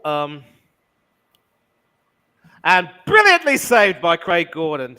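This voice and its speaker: A man casually chatting on a football watch-along and switching into measured, commentator-style play-by-play. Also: fairly high pitch, pushed and bright, clear, resonant tone.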